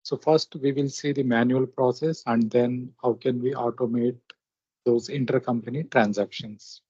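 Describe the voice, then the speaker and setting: A man narrating a software tutorial step by step, speaking haltingly in short phrases. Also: medium pitch, steady tone, slightly rough, no echo. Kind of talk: step-by-step screen demonstration narration. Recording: dull, lo-fi close microphone recording.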